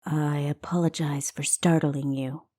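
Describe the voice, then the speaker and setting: A woman voicing a guarded, earnest female spy in an audiobook, with a deep, hushed, measured delivery. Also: level tone, no echo, clean studio sound. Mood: hushed, reassuring. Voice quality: deep feminine voice.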